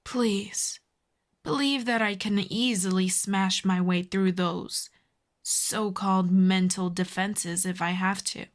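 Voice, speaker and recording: A woman performs a telepathic villainess in an intimate audio roleplay. She is smug, sardonic and menacing, taking her time over every taunt in a drawn-out delivery. Her breathy voice often sinks toward a whisper, with a medium pitch for a woman. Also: fairly even intonation. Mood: coolly menacing. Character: smug telepathic female villain, mocking, menacing, secretly intrigued. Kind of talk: ASMR audio roleplay monologue.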